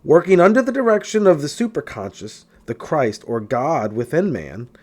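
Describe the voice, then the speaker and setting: Man reading aloud with lively, swinging pitch. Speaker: audiobook reader. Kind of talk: read-aloud self-help spiritual book narration.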